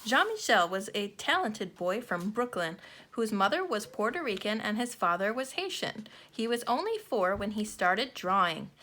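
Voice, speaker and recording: A woman narrating a children's picture book, reading the scripted text in measured phrases with deliberate pauses. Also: medium pitch, big pitch swings, sharp tone, slight background noise.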